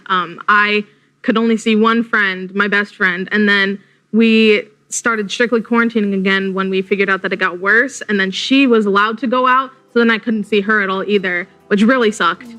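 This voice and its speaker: A woman's voice: mid-range voice, fairly even intonation, moderate pace, sharp tone, low-quality recording. A teenage girl on a panel speaking earnestly and candidly. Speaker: panel guest. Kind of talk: casual chat.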